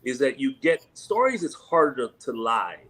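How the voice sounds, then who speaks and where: Energetic slangy male panel moderator. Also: mid-range voice, normal speed. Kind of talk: casual panel moderation, mixing in Spanish.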